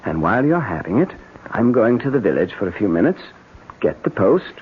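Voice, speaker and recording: Proper gentleman husband, muffled radio. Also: lowish voice, sing-song, a little fast, a little hiss or hum. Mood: soothing. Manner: courteous, formal, smooth-mannered. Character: worried, attentive English gentleman husband, politely proper. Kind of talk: 1970s radio drama acting. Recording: muffled 1970s radio drama recording.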